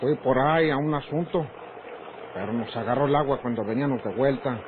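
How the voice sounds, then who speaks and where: An actor playing a bossy, short-tempered ranch man with a gruff rustic Mexican manner in an old radio drama. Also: medium pitch, a little fast, lightly acted. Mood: guarded. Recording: noisy, tinny old radio recording.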